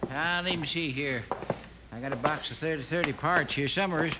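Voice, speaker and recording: A mischievous old frontier storekeeper in his eighties, acted by a man, high-pitched and folksy. He rambles along like an impish prankster in a radio Western. The vintage recording is clearly noisy. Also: moderate pace, natural voice. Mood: plain-spoken. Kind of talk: 1950s radio Western acting.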